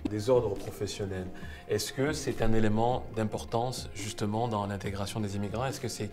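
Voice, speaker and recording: A man's voice: lowish voice, steady tone, moderate pace, over music. A man hosting and moderating a panel discussion, putting questions to a group. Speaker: interviewer, host.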